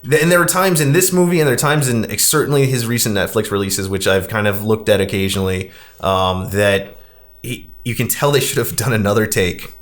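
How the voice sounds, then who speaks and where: A man's voice: on the low side, steady tone, a little fast. A man hosting a casual film discussion, talking in an opinionated, emphatic, conversational way. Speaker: podcast host.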